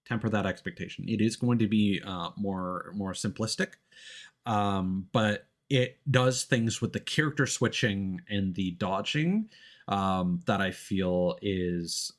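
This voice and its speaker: A man giving casual live gameplay commentary as a streamer, often thinking out loud in a halting way. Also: on the low side. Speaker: game streamer.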